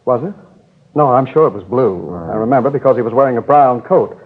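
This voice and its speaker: An actor playing a nervous, sarcastic bank manager in a querulous, flustered manner, in 1950s radio drama style. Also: male voice, mid-range voice, expressive, brisk, lightly acted. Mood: fussy. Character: fussy, nervous, sarcastic male bank manager, a robbery victim. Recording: old 1950s radio recording, narrow tinny band.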